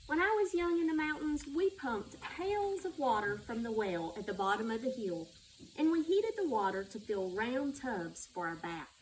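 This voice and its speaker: A woman's voice: on the high side, very animated, moderate pace. A woman reading a children's story aloud. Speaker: school superintendent reading a children's book. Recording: distant microphone with background noise.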